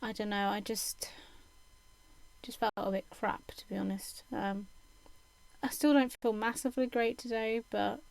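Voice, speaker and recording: A young woman streaming while she sews, talking hesitantly with many pauses and fillers, her throat a bit croaky. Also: fairly high pitch, steady tone. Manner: hesitant, lots of ums, self-deprecating laughs. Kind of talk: casual chat while sewing. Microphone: desk microphone.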